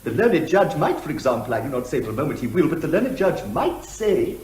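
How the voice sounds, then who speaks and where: An actor playing a verbose barrister who argues rhetorically and is elaborately deferential to the judge. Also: male voice, fairly high pitch, sing-song, a little fast, darkish tone, roomy sound. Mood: explanatory. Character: verbose, theatrical male barrister, obsequious to the judge. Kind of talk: comic courtroom advocacy.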